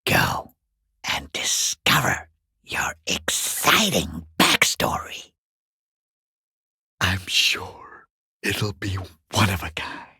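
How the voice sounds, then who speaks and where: A man voicing a foul-mouthed, sarcastic watcher in a video game, taunting in a rough, breathy, creaky half-whisper. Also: sits low, sing-song, pushed and bright, no room sound, clean studio sound, exaggerated voice. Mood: bitter sarcasm. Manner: sarcastic, taunting, drawn-out mockery. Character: sneering, foul-mouthed watcher peeking through a harbour gate gap. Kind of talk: video game character voice acting.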